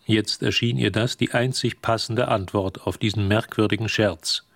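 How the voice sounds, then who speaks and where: An actor narrating a radio drama as a detached, measured literary storyteller. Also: male voice, lowish voice, steady tone, creaky, dry recording.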